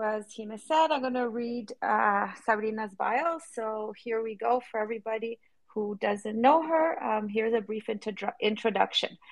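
A woman co-hosting an online chat, reading a guest bio aloud and welcoming her warmly. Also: on the high side, poor audio. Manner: friendly, reads aloud smoothly. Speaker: co-host.

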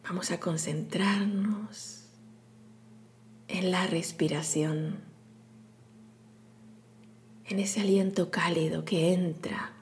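A woman leading a guided meditation in a soothing, hushed, breathy delivery with long pauses. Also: fairly low pitch, steady tone, slight background noise. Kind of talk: guided meditation, scripted narration.

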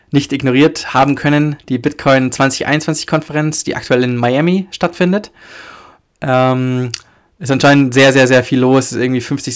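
A German man hosts a podcast by himself, and his voice is thick and stuffy from a cold. He talks loosely and rambles, filling pauses and correcting himself as he goes. His pitch sits in an ordinary middle range. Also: clean tone. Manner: loose and rambling, many fillers and self-corrections. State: has a cold, stuffy nose. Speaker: podcast host. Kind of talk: casual solo podcast talk.

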